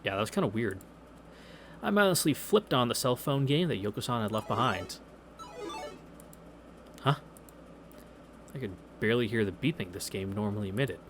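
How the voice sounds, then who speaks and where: A man's voice: on the low side, animated, normal speed, pauses often, a bit bright, a little hiss or hum. A man reading through a visual novel in a casual, laid-back way, with wry asides. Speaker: visual novel let's player. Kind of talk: casual read-through with reactions and anecdotes. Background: video game music.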